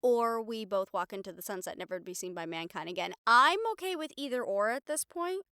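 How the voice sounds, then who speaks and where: A woman's voice: medium pitch, expressive, brisk, bright, forceful tone, clear, resonant tone, dry recording, studio quality. A woman playing a wry, daring young reporter in an intimate audio roleplay, talking to her partner in a sardonic, sighing, casually sweary way. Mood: tender, encouraging. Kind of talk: intimate audio roleplay acting, conversational monologue to the listener.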